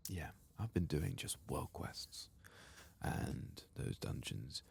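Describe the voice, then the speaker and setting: A man softly murmuring and half-whispering gameplay commentary in a husky, breathy voice, like an ASMR host. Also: very deep voice, expressive, takes it slowly.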